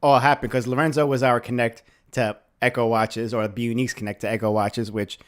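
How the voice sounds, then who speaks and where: A man co-hosting a podcast, chiming in with quick reactions and questions. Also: mid-range voice, level tone. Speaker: podcast co-host. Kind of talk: casual conversation.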